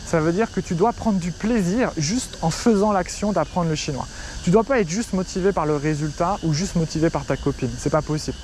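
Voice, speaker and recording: A man's voice: fairly high pitch, a little fast, a little mellow, a little hiss or hum. A young French man who teaches Chinese, giving casual, direct advice straight to the viewer outdoors in front of a city skyline. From France. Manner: chatty, direct, addresses viewer as tu. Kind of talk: casual talking-head advice video.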